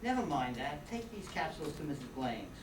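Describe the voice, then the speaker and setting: A gruff old druggist, drunk and grieving, acted in a radio drama. The old man's voice is distant and echoey over clear background noise. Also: medium pitch, big pitch swings, a little fast, close to an everyday voice. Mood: gruff, angry. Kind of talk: old-time radio play acting. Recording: roomy, distant, noisy stage recording.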